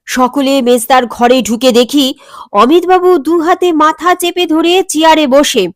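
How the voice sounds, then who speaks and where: A woman's voice: high voice, unhurried, dry recording, studio quality. A woman reading a scripted comic family story as its narrator.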